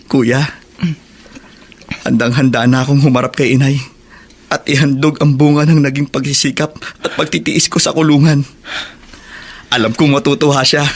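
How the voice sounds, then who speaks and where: A man's voice: on the high side, a little hiss or hum, close to an everyday voice. An actor playing an eager, devoted young man freed from prison, with a Filipino accent and an earnest, pleading radio drama delivery.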